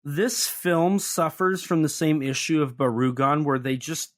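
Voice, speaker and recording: A man's voice: a little high, unhurried, a bit bright. Chatty man breaking down a monster film. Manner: chatty, analytical, lightly sarcastic. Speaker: podcast co-host. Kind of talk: casual film discussion.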